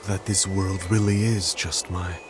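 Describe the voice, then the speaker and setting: A brooding young composer with a very low, soft voice,, thinking aloud. Clear background noise sits under his words in this game cutscene. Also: flat delivery, unhurried. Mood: pondering. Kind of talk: video game dub acting.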